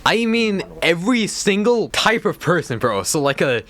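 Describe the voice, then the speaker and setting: A man's voice: high voice, sing-song, normal speed, fairly bright tone. Slangy dismissive man co-hosting. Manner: slangy, dismissive, says bro a lot. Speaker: co-host. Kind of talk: casual reaction commentary.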